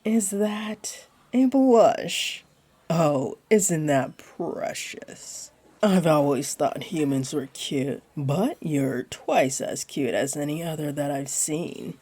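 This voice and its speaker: A woman voicing a flirty, comforting female jaguar beastling in a roleplay audio, with a deep, mellow voice and a teasing, soothing manner. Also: slow pace, slightly rough, heavily acted. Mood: flirty, tender.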